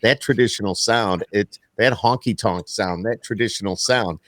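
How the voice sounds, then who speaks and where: Low, dry-sounding American male host. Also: moderate pace, fairly bright tone, no room sound. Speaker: podcast host. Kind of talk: casual interview chat.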